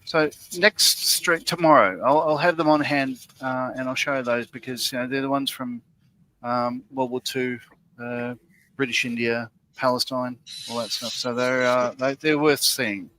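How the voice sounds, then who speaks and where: A man with a bright, forceful voice at a middling pitch chats casually. He rambles a little, stopping and restarting around fillers. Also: fairly even intonation, a little slow, slight background noise, poor audio. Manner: rambling, hesitant, full of fillers. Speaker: co-host. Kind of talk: casual chat.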